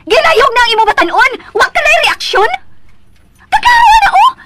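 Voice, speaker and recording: Jealous female schemer, venomous, melodramatic radio drama, very high pitch, swooping intonation. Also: wildly swooping pitch, moderate pace, fairly bright tone, exaggerated voice. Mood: furious, confrontational. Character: scheming, furious jealous woman plotting against a couple.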